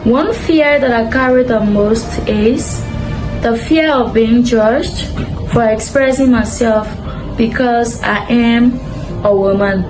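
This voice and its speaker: A young woman from Liberia speaks slowly and deliberately in a personal statement. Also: on the high side, poor audio. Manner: slow, deliberate recitation. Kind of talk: short recorded personal statement. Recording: voice over loud background music.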